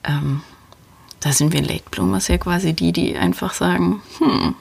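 A woman's voice: fairly low pitch, unhurried, vocal fry, darkish tone, breathy edge, no echo. A woman hosting a podcast, talking casually and thinking aloud as she answers listener questions. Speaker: podcast host.

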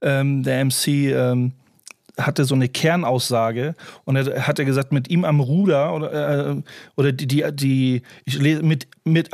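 A man with a gravelly voice co-hosts a podcast, explaining music production in a rambling, restart-heavy way. His voice sits at a middle pitch, and the studio sound is dry and close. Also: a little mellow, dry recording. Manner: rambling, restarts sentences often, laughs easily. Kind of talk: casual podcast chat.

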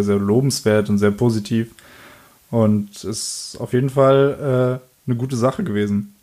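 A man's voice: lowish voice, a little mellow. A man interviewing a young man, backing up his answers, summing them up and asking follow-up questions. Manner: affirming, sums up answers, asks follow-ups.

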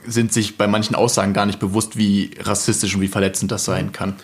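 A man hosting a podcast, calmly asking an interview guest long, searching questions. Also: on the low side, fairly quick. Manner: calm, searching questions in long winding sentences. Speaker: podcast host.

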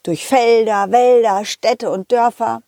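Woman as bright-eyed, high-voiced cheerful storytelling dog. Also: steady tone, slow, no room sound, studio quality. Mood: cheerful, welcoming. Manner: playful, chatty, giggly, addressing children directly. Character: cheerful, excitable, loyal dog telling children stories. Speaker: puppet character host. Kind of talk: children's audio story narration.

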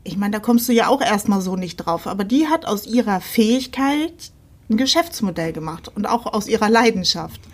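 A woman's voice: mid-range voice, lively intonation, normal speed. A woman co-hosting a podcast in a casual chat, speaking in an informal, colloquial way. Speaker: podcast co-host.

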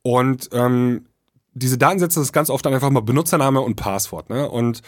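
A man's voice: medium pitch, normal speed, dry recording, studio quality. A man with a German accent co-hosting a casual podcast discussion, explaining things and often seeking agreement with little tag questions. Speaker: podcast co-host.

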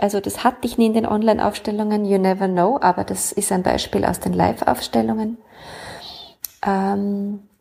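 A woman with a husky, somewhat gravelly voice recounts stories from her sessions. Her talk is loose and rambling, full of fillers and restarts. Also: mid-range voice, darkish tone. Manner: rambling, with many fillers and self-corrections. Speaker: family constellation facilitator. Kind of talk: casual storytelling monologue.

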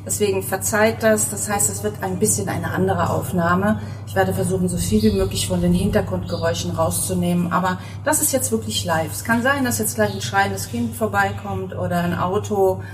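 A woman's voice: medium pitch, fairly even intonation, normal speed, hardly any pauses, far from the mic, noisy background. A middle-aged woman hosting a podcast interview, talking casually with many fillers and restarts, on an outdoor terrace. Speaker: podcast host. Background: passing people and cars, music in the intro.

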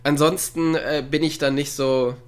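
A man's voice: fairly high pitch, fairly even intonation, measured pace, smooth. Male co-host, casual, chuckling, rambling with fillers, home call. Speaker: podcast co-host. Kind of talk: casual chat. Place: at home, with a dog nearby.

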